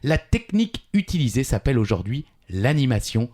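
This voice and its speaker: A man's voice: medium pitch, sing-song, brisk, darkish tone. A man co-hosting a quiz podcast, chatting casually and reading out trivia with a playful, teasing touch.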